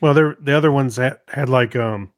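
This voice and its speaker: A male podcast co-host with a mid-pitched voice, talking casually and off the cuff. His delivery is laid-back and a little halting as he rambles, and the sound is very dry. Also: no echo. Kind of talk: casual, unscripted podcast chat.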